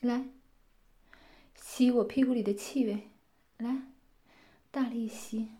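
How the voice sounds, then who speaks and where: A woman playing a domineering, scornful mistress who gives commands and taunts, sometimes dropping to breathy whispers. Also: a little high, fairly even intonation, a little slow, mellow, close to an everyday voice. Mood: commanding. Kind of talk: roleplay audio drama.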